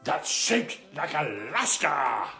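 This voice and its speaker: Woman as a bright, childlike, greedy fuzzy puppet. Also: low voice, big pitch swings, takes it slowly, soft background music, heavily acted. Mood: dreamy, greedy. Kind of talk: children's puppet comedy sketch. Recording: roomy echo.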